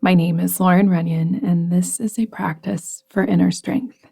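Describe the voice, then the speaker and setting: A woman guiding a yoga nidra meditation in a soft, soothing, gently instructing manner, with breathy phrase endings. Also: on the low side, steady tone, normal speed. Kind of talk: guided meditation. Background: music.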